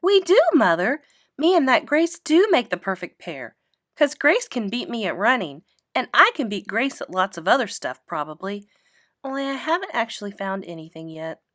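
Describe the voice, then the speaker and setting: Woman playing an excitable, high-pitched little kindergarten girl. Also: normal speed, no echo, clean studio sound. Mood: proud, gushing. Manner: gushing, childish bad grammar, emphatic.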